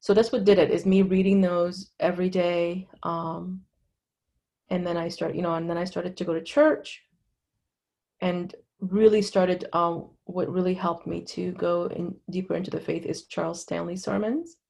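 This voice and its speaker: A middle-aged woman from Lebanon giving candid personal testimony as an interview guest, in a halting, conversational way. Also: lowish voice, steady tone, gravelly, warm tone.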